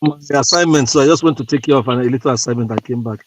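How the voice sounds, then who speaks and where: A man's voice: medium pitch, fast, background noise. A man joining a call, easygoing and chatty. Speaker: panelist.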